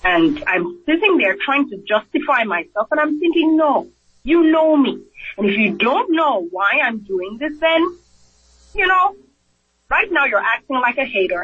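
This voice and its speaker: A man's voice: high-pitched, slightly rough. A man with a Nigerian accent, a physician guest on a radio interview, speaking earnestly. He often repeats words as he starts a thought and acts out the voices he quotes. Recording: dull lo-fi radio broadcast.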